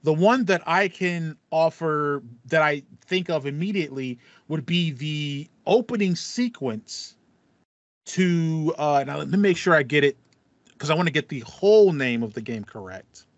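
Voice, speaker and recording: A man in his thirties talks casually as a podcast host. His voice sits a bit high and he tells things like a lively storyteller. The sound is dry and slightly muffled, like a headset at home. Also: measured pace, dry recording. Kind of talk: casual gaming podcast chat. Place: small home room with foam panels. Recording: dull, lo-fi dry audio.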